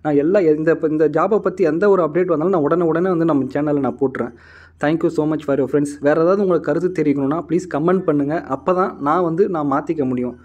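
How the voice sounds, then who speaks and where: Male explainer host, very quick delivery, warm dark tone, instructive and repetitive. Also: on the high side, dull audio. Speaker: host of a job news channel. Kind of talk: informal explainer narration addressed to viewers.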